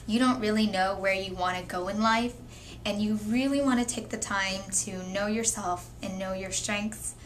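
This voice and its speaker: A 22-year-old woman speaking in a measured, thoughtful way as a young mother sharing her story. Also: medium pitch, slight background noise. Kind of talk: candid personal testimony.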